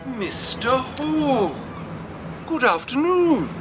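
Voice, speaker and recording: A man's voice: extremely high pitch, sing-song, very slow, background noise, full character voice. An actor playing a prim, moralising clergyman with a formal, pompous and theatrical way of speaking in a radio drama. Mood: effusive surprise. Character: prim, moralising English clergyman, effusive and nosy.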